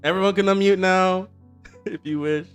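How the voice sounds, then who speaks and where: A man chatting casually as game master after a tabletop session on a video call, halting and laughing as he talks. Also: sits high, steady tone, clean tone, soft background music. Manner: laughing, halting, self-deprecating. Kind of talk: casual post-session chat.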